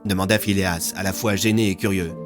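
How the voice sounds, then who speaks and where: Low-voiced man narrating fiction. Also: steady tone, brisk, music in the background. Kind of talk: scripted dramatic audio fiction narration.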